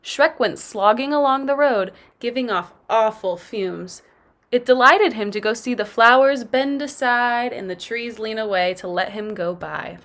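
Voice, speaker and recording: A woman's voice: a little high, unhurried, fairly bright tone. A woman teacher reading a storybook aloud to pupils.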